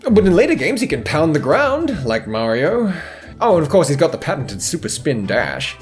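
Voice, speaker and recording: Animated, theatrical man narrating over music. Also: on the high side, normal speed. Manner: theatrical, wordy, sarcastic delivery. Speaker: video essay host. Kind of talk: scripted humorous video essay narration. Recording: music behind the voice. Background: background music.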